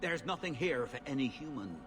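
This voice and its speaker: A man's voice: mid-range voice, animated, brisk, over music, natural voice. An actor playing a proud, hostile male elven camp guard in a video game, with a curt manner. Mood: threatening.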